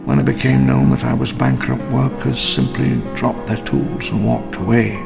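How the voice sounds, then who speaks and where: An actor playing a wistful elderly park founder, narrating his memoirs in a measured, theatrical, ruminative way for a game audio log. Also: mid-range voice, big pitch swings. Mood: rueful, somber. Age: older. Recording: long reverb under clear music.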